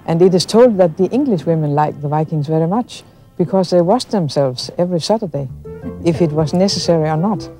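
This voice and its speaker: Mellow woman guide. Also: on the low side, fairly quick, faint music behind, poor audio. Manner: explanatory, storytelling. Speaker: local archaeology guide. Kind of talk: spoken explanation to visitors.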